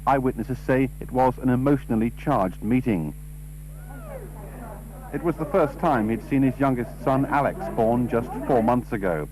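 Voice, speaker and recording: A man's voice: medium pitch, moderate pace, a crowd in the background. A man reading a scripted television news report as a reporter. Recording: noisy old TV broadcast, steady hum.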